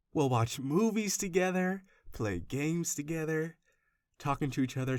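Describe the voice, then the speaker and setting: A man's voice: medium pitch, dry recording, studio quality. A man playing an obsessive, doting kidnapper in a roleplay audio, speaking to the listener with rambling, anxious affection. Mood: awkward, reassuring. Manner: soft-hearted, rambling, hesitant, gushing reassurance. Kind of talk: intimate roleplay audio acting addressed to the listener.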